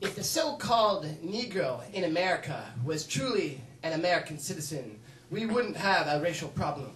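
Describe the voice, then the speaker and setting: A fiery political orator, acted by a male comedian, speaks in a high voice with emphatic, repetitive rhetoric. The sound is sharp, echoey and set back from the mic, as in a comedy monologue. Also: very animated, normal speed. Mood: emphatic, indignant. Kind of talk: mock political oratory from a film. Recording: roomy, distant mic, faint noise.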